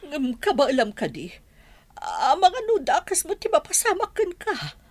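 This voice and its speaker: A worried middle-aged mother in a radio drama. Her Ilocano-accented voice is fairly high, fretful and full of swooping pitch. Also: huge pitch swings, a little slow, full character voice. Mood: anxious warning. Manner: hesitant, fretful. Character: anxious, cautious middle-aged mother and wife.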